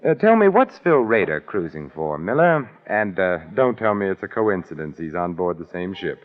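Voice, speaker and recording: An actor playing a suave, unflappable sleuth with urbane, dry, teasing wit, in a 1940s radio detective drama. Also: male voice, deep voice, sing-song, normal speed. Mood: needling. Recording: muffled vintage 1949 radio recording.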